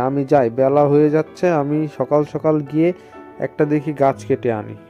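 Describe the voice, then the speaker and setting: A man's voice: fairly high pitch, dark tone, natural voice. A man playing a stubborn old village weaver in a cartoon, muttering to himself and exclaiming as he complains. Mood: dismissive, bold. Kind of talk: cartoon voice acting. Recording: dull lo-fi audio with music behind.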